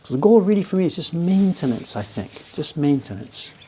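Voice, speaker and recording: A man in his seventies with a slightly high voice talks in a loose, rambling way as he hosts a live stream. He explains his workshop gear as he goes and keeps breaking into amused laughter. A faint background noise sits under his voice. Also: sing-song, brisk, dark tone. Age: 70s. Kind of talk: casual chat while demonstrating workshop tools.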